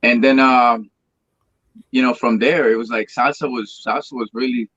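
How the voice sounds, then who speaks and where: A man's voice: medium pitch, steady tone, normal speed, fairly bright tone, breathy edge, no room sound, highs cut off. A man in his thirties from Colombia, a Spanish speaker, telling his story in a rambling, restarting way as an interview guest. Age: 30s. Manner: rambling, repeats words and restarts often. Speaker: guest singer. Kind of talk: casual interview chat.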